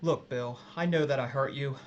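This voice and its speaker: A man's voice: mid-range voice, normal speed, highs cut off, natural voice. An actor playing a guilty, tormented captive who pleads with his coworker in an audio drama, sounding strained and desperate. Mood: pleading.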